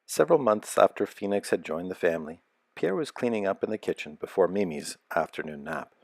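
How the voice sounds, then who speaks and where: A man narrating a children's picture book aloud as a storyteller, with a measured, expressive delivery. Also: low-pitched, gravelly, no room sound, studio quality.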